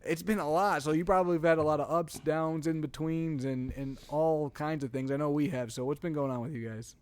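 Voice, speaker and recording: A young dad co-hosting a casual podcast, his voice sitting a little high for a man. He teases his friend and laughs easily as he talks. The sound is dry and close, with no room around it. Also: fairly even intonation, brisk, smooth, no echo. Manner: laughs often, teasing. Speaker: podcast co-host. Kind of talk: casual chat.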